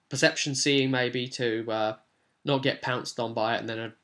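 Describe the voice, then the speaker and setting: A man with a mid-pitched voice talks informally as a game master recapping a tabletop session. His delivery is conversational and rambling, with sudden stresses on certain words. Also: bright, forceful tone. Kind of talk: informal vlog recap and advice on a tabletop role-playing session.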